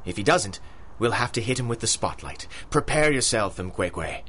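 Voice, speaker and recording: Pompous, husky big-game hunter. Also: male voice, lowish voice, lively intonation, normal speed, fairly bright tone. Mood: tense, commanding. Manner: pompous, theatrical. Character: arrogant, obsessive big-game hunter, man. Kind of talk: 1930s-style radio drama acting.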